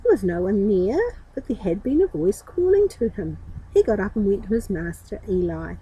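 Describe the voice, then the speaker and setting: Woman, storyteller, very lively pitch, mellow husky tone, expressive children's reading. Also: normal speed, poor audio.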